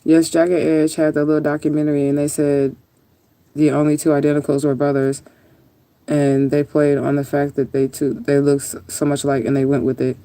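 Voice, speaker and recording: A woman's voice: deep voice, level tone, normal speed, warm tone, no echo. A woman chatting casually on a livestream and answering viewers in a rambling, laid-back, sometimes profane way. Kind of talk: casual live chat, answering viewer comments.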